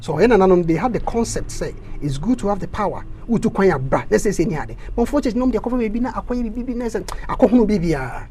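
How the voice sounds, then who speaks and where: A man with a Ghanaian accent, a council candidate giving an impassioned interview, stammering through repeats and rising into loud preaching bursts. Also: high voice, animated, racing, hardly any pauses.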